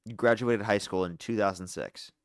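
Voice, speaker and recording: A young man hosting a live call-in debate stream, briskly screening callers with sarcastic asides. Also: on the low side, dry recording, clean studio sound. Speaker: livestream debate host.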